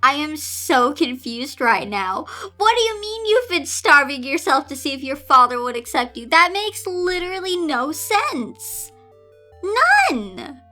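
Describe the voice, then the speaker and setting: A woman with a high voice reads aloud at a slow, deliberate pace, breaking into casual, exasperated asides. Her delivery is expressive and theatrical, with music playing behind her. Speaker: host reading and reacting to fan fiction. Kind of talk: dramatic read-aloud with casual exasperated commentary.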